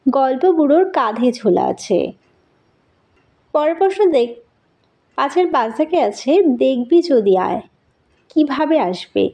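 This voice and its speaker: High-pitched woman teaching a school lesson. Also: animated, unhurried, pauses often, warm tone. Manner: instructional, addresses pupils directly. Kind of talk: school lesson, reading out questions and answers.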